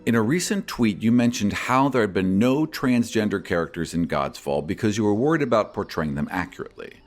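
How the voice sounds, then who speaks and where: Male podcast host, fairly low and very expressive, reading mailbag questions and prepared explanations over soft background music. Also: a little fast. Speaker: podcast host and game master answering fan questions. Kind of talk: reading fan questions and scripted answers.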